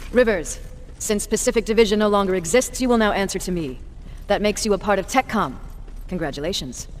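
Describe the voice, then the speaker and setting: A woman's voice: medium pitch, brisk, a little hiss or hum, lightly acted. Tough, curt female commander played by an actress. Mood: brisk, commanding. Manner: authoritative, curt, dryly sardonic. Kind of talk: dramatic video game acting.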